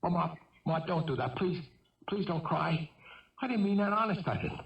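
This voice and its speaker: A man's voice: a little high, animated, moderate pace, creaky, recorded from a distance. An actor playing a troubled young fugitive killer in a 1970s radio drama, defensive and childlike, stammering and repeating himself as he pleads. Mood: pleading, tearful. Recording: roomy, muffled old radio broadcast.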